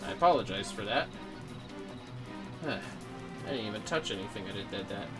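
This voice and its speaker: A man livestreaming a game in casual chat, speaking in a low-key way, half muttering to himself and to viewers. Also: lively intonation, a little fast, over loud music.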